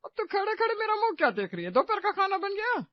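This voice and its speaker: A woman's voice: very high-pitched, very animated, quick pace, no room sound, dull audio. A woman playing a bossy, theatrical middle-aged mother-in-law in a cartoon story, nagging with sarcasm and melodrama. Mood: irritated, sarcastic. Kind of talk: animated moral-story cartoon acting.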